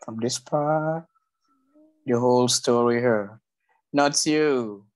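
A woman's voice: a bass voice, takes it slowly, a little mellow. A woman with a Vietnamese accent teaching an English class over a video call, patiently repeating and correcting words as students read aloud. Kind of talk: online class, coaching students reading aloud.

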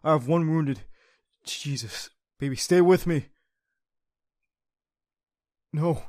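A man's voice: a little high, slow, a little mellow. A man playing a young secret agent who leads his team and is a devoted boyfriend, in an audio roleplay drama. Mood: distraught, pleading. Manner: clipped military radio commands.

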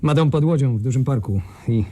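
An actor playing a tough, streetwise ex-commando in a radio crime play, talking in colloquial slang. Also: male voice, medium pitch, brisk, warm tone, natural voice. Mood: grim. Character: tough, streetwise ex-commando gang member reporting back.

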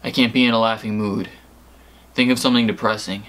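A man's voice: on the low side, steady tone, a little fast, fairly bright tone, slight background noise. A young American man chatting casually and hesitantly to camera and on the phone, peppering his talk with "like". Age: young adult. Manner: hesitant, rambling, heavy use of "like". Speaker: prank-call vlogger.

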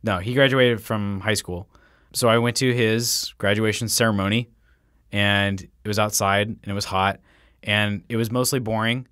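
A man in his twenties co-hosting a casual podcast, with a high nasal voice and a dry, sarcastic way of joking. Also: fairly even intonation, moderate pace, fairly bright tone, clean tone, dry recording. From California. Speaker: podcast co-host.

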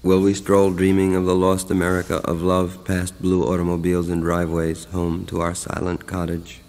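Low, flat-toned man reciting poetry. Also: moderate pace. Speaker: poem narrator. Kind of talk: scripted poetry recitation voice-over. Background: music.

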